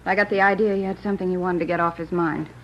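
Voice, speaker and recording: A woman's voice: lowish voice, steady tone, racing, close to an everyday voice. An actress playing a businesslike parole officer in a radio drama, matter-of-fact in her delivery. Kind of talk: 1950s radio drama acting. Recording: muffled old radio, faint noise.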